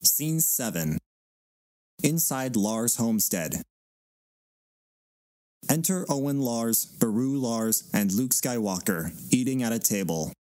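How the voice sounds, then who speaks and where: A man's voice: mid-range voice, expressive, slow, pauses often, mellow, dry recording. Dry-sounding man announcing stage directions. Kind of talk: scripted stage-direction reading.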